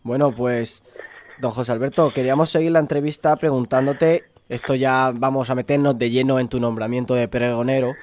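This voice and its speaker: A man's voice: mid-range voice, fairly even intonation, brisk, a little mellow. Effusive radio interviewer, man from Spain. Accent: Spanish (Spain). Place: radio studio.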